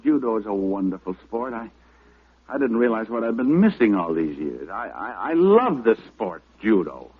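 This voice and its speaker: An American actor playing a flippant, wisecracking private detective in old radio comedy style, with sardonic, stammered asides. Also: male voice, on the low side, very animated, normal speed, slightly rough. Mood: wry reluctance. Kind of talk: 1940s-50s radio detective comedy acting. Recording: muffled narrow-band old radio recording.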